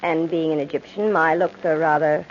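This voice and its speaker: An actress playing an imperious, centuries-old undead Egyptian princess with a haughty, mocking, deliberate delivery in radio horror style. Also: female voice, sits low, fairly even intonation, natural voice. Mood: smug, coy. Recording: dull, noisy old radio recording.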